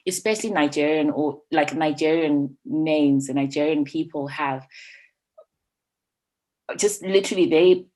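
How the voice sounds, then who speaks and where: A woman's voice: sits low, measured pace, pauses often, a little mellow. A woman co-hosting a podcast, thinking aloud in a casual chat and filling her sentences with "you know" and "like". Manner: thoughtful, fills with "you know" and "like", frequent "mm" agreement. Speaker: podcast co-host.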